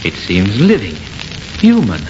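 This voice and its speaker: An actor playing an uneasy, superstitious, gloomy friend in an old-time radio horror play, speaking in a grave, wary way. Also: male voice, on the low side, wildly swooping pitch, unhurried. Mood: uneasy wonder. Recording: muffled old radio recording with noise.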